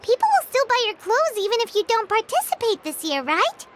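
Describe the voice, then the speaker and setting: A squeaky, excitable little companion sprite. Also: female voice, brisk, background noise. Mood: curious. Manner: chirpy, refers to herself in the third person. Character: tiny floating female companion, chatty, excitable. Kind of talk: game voice acting. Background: game music.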